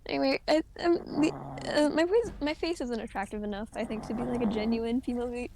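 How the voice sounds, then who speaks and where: A young woman streamer with a high voice, chatting casually and rambling, often giggling and restarting her sentences. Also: fairly quick, noisy background. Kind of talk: casual gaming chat.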